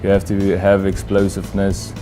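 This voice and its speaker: A man's voice: deep voice, completely flat delivery, normal speed, mellow. A man, a rugby player, giving an earnest testimonial in a promotional video. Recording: promo video over music.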